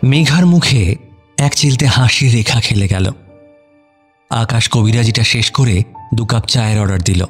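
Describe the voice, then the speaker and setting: Male narrator for audio romance; mid-range, measured literary delivery with pauses, music bed beneath. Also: mid-range voice. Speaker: story narrator. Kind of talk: scripted dramatic storytelling.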